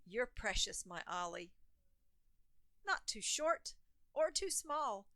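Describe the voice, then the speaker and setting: A woman voicing a gentle, caring male saviour in a children's storybook, soft, tender and reassuring. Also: fairly high pitch, big pitch swings, slow pace, dry recording, heavily acted. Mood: tender reassurance.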